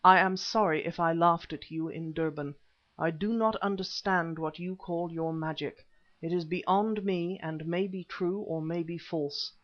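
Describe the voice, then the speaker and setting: Guy reading as a humble seasoned hunter in an adventure novel, measured formal talk, highish voice, bit lo-fi. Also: fairly even intonation, natural voice. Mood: measured, respectful. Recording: slightly dull, lo-fi audio.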